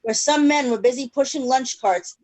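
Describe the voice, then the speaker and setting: A woman reading a picture book aloud to children in an expressive storytelling way over a video call. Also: high-pitched, normal speed. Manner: expressive storytelling with questions to listeners. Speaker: children's story-time reader. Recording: noisy video call recording.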